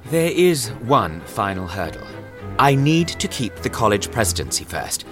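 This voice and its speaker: A careful, senior college physician in an audio drama. He speaks low, with a lively rise and fall. His manner stays quietly conspiratorial over the music bed. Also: unhurried. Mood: guarded. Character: cautious senior male physician and college president.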